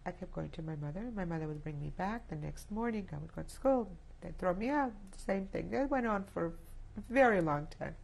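A Polish-born woman in her sixties, a native Polish speaker, giving reflective oral history testimony in a halting way, with many restarts. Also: on the low side, animated, creaky, a little mellow, breathy edge. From Poland. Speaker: interviewee. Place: indoor studio set with plants.

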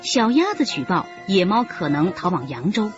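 A woman's voice: fairly low pitch, big pitch swings, music in the background. Woman narrating a radio drama. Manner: measured storytelling. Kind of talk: scripted narration. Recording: dull lo-fi radio play audio.

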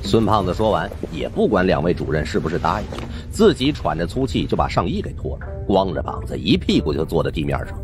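A male audiobook narrator with a deep voice. He tells suspense fiction in a colloquial, theatrical way with very animated pitch. Music runs softly underneath. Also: brisk, hardly any pauses. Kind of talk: dramatic scripted narration of a supernatural suspense novel.

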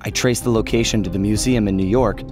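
A man playing a headstrong, earnest young trainee secret agent in an animated video game. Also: fairly low pitch, fast, over music, lightly acted. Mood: earnest, defiant.

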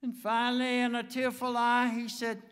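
An American man in his seventies preaching a sermon from a pulpit in a deliberate, emphatic manner with dramatic pauses. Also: extremely high pitch, monotone, fairly bright tone, clear, resonant tone. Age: 70s. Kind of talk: sermon with anecdotes. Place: church sanctuary, speaking from the pulpit. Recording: reverberant church hall, long echo.

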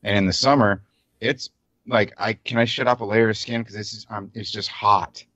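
A man's voice: lowish voice, steady tone, normal speed, fairly bright tone, no echo. An American man in his thirties chatting casually as a podcast host, with some swearing, over a slightly dull call. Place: dim home room, on a video call.

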